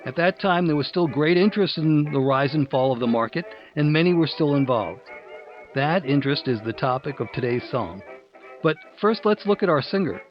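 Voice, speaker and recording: Male presenter, fairly high voice, scripted music-history narration, slightly muffled recording. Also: lively intonation, normal speed.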